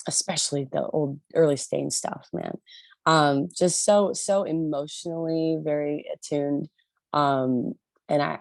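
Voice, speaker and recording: Low gravelly-voiced woman, rambling. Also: darkish tone, no room sound. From Detroit area, USA. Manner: rambling, many restarts and fillers. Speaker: rock band lead singer, guest. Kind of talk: casual interview chat.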